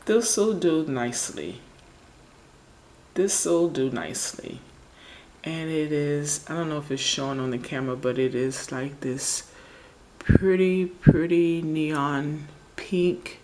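A woman casually talking through a home nail tutorial, relaxed and chatty, often searching for words. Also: low-pitched, slow pace, a little hiss or hum. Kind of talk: casual how-to narration. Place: home table, indoors.

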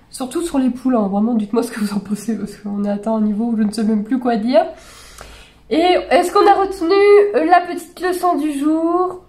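A woman in her forties with a fairly high, slightly hoarse voice talks straight into a desk webcam in a small bedroom. Her delivery is exasperated and incredulous, full of fillers and restarts. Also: mellow. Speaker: horse channel host reacting to videos. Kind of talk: casual reaction commentary. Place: small bedroom with gaming chair.

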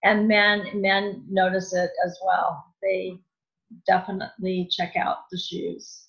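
A woman's voice: level tone, slow pace, gravelly, a bit bright. A woman guest giving casual style advice in conversation, explaining things in a matter-of-fact way. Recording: dull, muffled low-fidelity audio.

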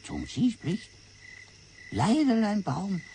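Solemn Chinese sage for radio drama; highish, gravelly voice, broken phrasing, deliberate storytelling. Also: male voice, sing-song, dark tone, slight background noise, full character voice. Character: Chinese sage-like man telling an old fable, solemn. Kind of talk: radio play acting.

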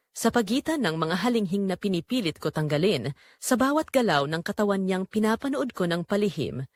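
A woman's voice: mid-range voice, dry recording. A woman as a yearning married mother, very close dry sound. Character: Marina, married mother, longing, guilty, secretly tempted. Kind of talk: first-person scripted audio drama narration.

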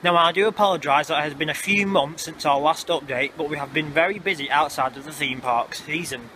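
A man's voice: a little high, sharp tone. A man giving chatty, explanatory walking-tour commentary, recorded outdoors on a handheld camera. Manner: chatty, explanatory, a bit halting. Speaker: vlogger. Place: outdoors in urban streets and underpass. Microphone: handheld camera mic. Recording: noisy outdoor street sound. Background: traffic and street ambience.

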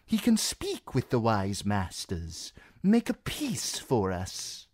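A man voicing a fawning male seneschal with a shrill, whining voice. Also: huge pitch swings, slow, a little mellow, breathy edge, no echo, clean studio sound, full character voice. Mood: pleading.

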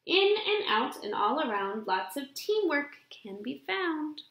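A young adult woman reading a children's picture book aloud in an expressive, deliberate storybook delivery, recorded in a room at home. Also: sits high. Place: small indoor room at home. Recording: roomy, echoing home webcam recording.

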